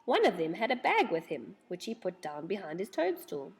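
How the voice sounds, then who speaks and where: A woman reading a children's storybook aloud in an expressive, dramatic storytelling manner. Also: lowish voice, moderate pace.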